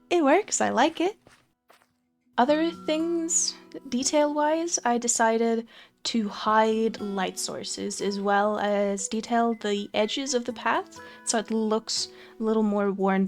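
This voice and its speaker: Young woman for relaxed game commentary, slow and chatty, light guitar underneath. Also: a little high. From Finland. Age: young adult. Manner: relaxed, chatty, frequent fillers and soft laughs. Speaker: Minecraft let's play creator. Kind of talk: casual gameplay commentary.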